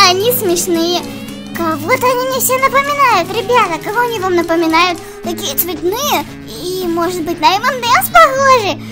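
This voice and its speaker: Very high childlike woman over music. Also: expressive, moderate pace. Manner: childlike, exclamatory. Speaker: let's play host. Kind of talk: children's game commentary, speaking as the game's characters. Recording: music behind the voice.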